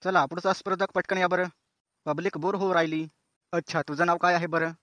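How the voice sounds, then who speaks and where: A man's voice: high voice, steady tone, fairly quick, a bit bright, dry recording. A man playing the chatty, teasing host of a cartoon singing contest, talking in a folksy, colloquial way. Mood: breezy, bantering. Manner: colloquial, folksy, addressing viewers as friends. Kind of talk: comic cartoon show presenting.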